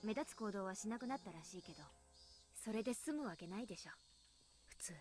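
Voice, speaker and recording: A woman's voice: mid-range voice, lively intonation, rapid-fire, darkish tone, lightly acted. A woman voicing a frank, exasperated teenage girl in a visual novel, explaining things bluntly and wearily. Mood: bitter, grim. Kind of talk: Japanese visual novel voice acting. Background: game music.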